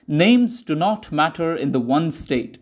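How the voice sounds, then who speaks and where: A man reading scripted narration for a newsreel-style documentary in a measured, declarative propaganda manner. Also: fairly high pitch, expressive.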